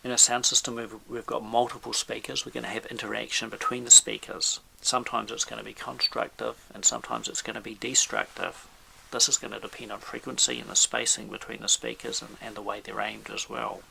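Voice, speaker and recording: A man giving an informal technical explainer as an expert presenter, in a halting, measured way. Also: on the low side, flat delivery, vocal fry, sharp tone, a little hiss or hum, low-quality recording.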